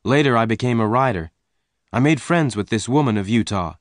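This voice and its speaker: A man's voice: medium pitch, measured pace, dry recording, studio quality, voice pushed up, natural voice. A man voicing a young woman rancher who cries out and pleads, along with other lines of dialogue.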